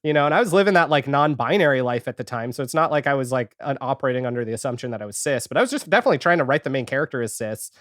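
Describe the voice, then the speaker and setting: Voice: mid-range voice, animated, racing, hardly any pauses, a bit bright, dry recording, studio quality. A podcast guest chatting casually and candidly about their own experiences, with wry, self-deprecating asides. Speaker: podcast guest, audio fiction creator. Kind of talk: casual interview chat.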